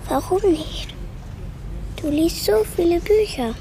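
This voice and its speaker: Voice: very high-pitched, animated, takes it slowly, dark tone, slight background noise, exaggerated voice. A performer playing an inquisitive small girl, earnest and persistent, in radio drama style. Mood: curious, earnest. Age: child. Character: inquisitive four-year-old girl questioning her father.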